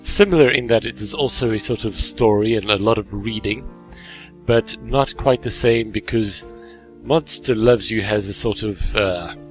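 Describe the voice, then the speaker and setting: A man's voice: lowish voice, moderate pace. A man hosting a gaming video with casual, chatty, easily amused commentary on a game as he plays it. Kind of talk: casual let's play commentary, reading game dialogue aloud. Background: game music.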